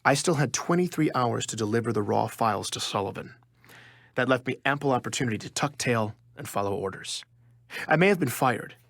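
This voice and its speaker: A man's voice: mid-range voice, creaky, a bit bright, dry recording, studio quality. A man playing a proud, wronged journalist, telling his story in a confiding, wry first-person audio drama narration. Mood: wry, tense. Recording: music under the voice.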